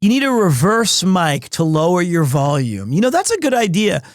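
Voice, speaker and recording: Loud, high-voiced man streaming. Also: very animated, moderate pace, no room sound, studio quality. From United States. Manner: loud, big voice, rambling with many restarts. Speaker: livestreamer. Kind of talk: casual chat with stream viewers. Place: home stream room in an apartment.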